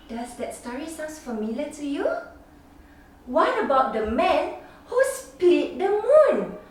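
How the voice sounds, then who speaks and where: A mother with a Singaporean accent reading a children's picture book aloud, expressive and sing-song, with gasps. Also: high voice, takes it slowly. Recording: echoey room, mic at a distance.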